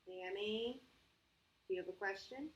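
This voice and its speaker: A woman hosting a story time for young children, talking in simple, patient, expressive phrases with frequent questions. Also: mid-range voice, a little slow, muffled recording. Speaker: children's church story host.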